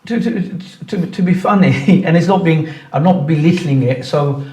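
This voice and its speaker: A man's voice: fairly high pitch, normal speed, darkish tone, a little hiss or hum. A man in his sixties, born in Pakistan and raised in England, a football club chairman answering interview questions earnestly, with frequent restarts and repeated words. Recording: roomy echo, mic at a distance.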